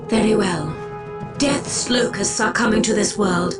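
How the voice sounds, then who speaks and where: A woman voicing an ancient, aloof alien seer in a game cutscene, measured, solemn and portentous. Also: medium pitch, over music, close to an everyday voice. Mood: grave warning. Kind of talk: video game cutscene acting.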